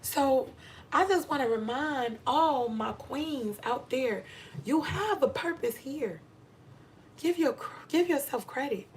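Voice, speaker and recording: A woman's voice: sits high, expressive, slow pace, a little hiss or hum, poor audio. A middle-aged American woman giving a candid motivational monologue to viewers, speaking emphatically and repeating phrases for stress. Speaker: vlogger giving advice to women.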